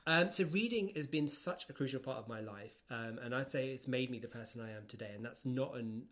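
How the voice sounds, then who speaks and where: A man's voice: medium pitch, brisk, fairly bright tone. A middle-aged man with a London accent, chatting casually on a podcast in a campy, sassy, anecdotal way. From central London, England. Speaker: podcast co-host and interviewee.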